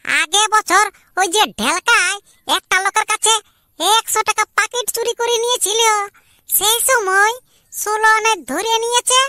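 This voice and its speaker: A woman's voice: extremely high pitch, normal speed, bright, forceful tone, no echo. A woman voicing a scheming, insistent village youngster with a rural Purulia Bengali accent in an amateur comic cartoon. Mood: agreeing, recounting. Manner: bargaining, insistent.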